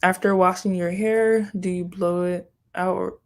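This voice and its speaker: Young woman, casual vlogger, rambling chatty answers, slightly low pitch. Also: fairly even intonation, measured pace, darkish tone. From Haiti, raised in Florida. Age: young adult. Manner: chatty, rambling, self-questioning asides. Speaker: YouTuber answering viewer questions. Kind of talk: casual chat.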